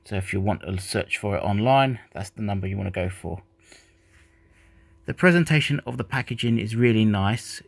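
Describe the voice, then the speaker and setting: Gravelly non-native English-speaking man. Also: on the low side, no echo. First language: not English. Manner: chatty, rambling, self-correcting. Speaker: model train reviewer. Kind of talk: casual unboxing narration.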